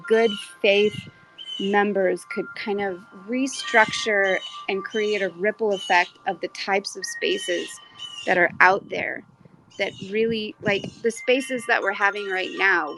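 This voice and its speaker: A woman's voice: medium pitch, vocal fry, fairly bright tone, rough recording. A woman talking casually and reflectively in an online group discussion, earnestly thinking aloud and hedging as she goes. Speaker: speaker in an online audio community discussion.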